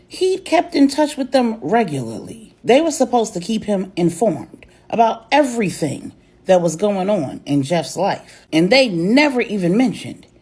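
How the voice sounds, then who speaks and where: A woman's voice: mid-range voice, very animated, a little slow, darkish tone, breathy edge. A woman narrating a dramatic serial story in a colloquial, emphatic way.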